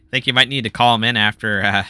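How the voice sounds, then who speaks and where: A man's voice: medium pitch, bright, forceful tone, no room sound. A man doing casual let's-play commentary, chatty and laughing, muttering to himself when he gets stuck.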